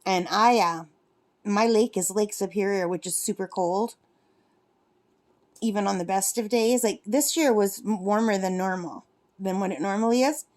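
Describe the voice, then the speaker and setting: A woman's voice: mid-range voice, moderate pace, a little mellow. A woman in her fifties chatting casually in a rambling, self-correcting way, vlogging from her lakeside cabin while she crafts. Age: 50s. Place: cabin at a lake.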